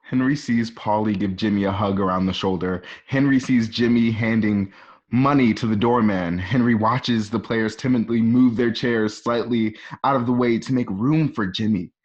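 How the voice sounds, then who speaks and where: A man narrates a screenplay's action in the present tense for a radio drama. His voice is on the low side, and the home recording sounds a little dull. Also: normal speed. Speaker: narrator reading stage directions.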